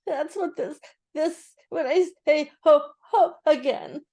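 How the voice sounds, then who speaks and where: Woman playing a squeaky, high, nervous little piglet. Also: dark tone. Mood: flustered. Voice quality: squeaky, sometimes husky. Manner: anxious, hurried, exclaiming. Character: small nervous male piglet, easily flustered, excitable.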